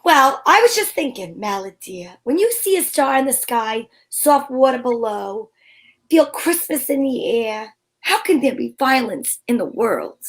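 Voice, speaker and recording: A woman's voice: fairly high pitch, sing-song, measured pace. A woman playing a plucky, curious female private detective in an amateur old-time radio drama, wry and wisecracking. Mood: musing.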